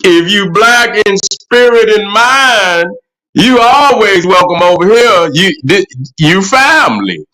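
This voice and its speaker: High bright-voiced profane livestream host. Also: male voice, animated, slow, rough recording. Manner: profane, rambling, repeats "you know what I'm saying". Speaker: livestream host answering chat. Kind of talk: casual livestream commentary.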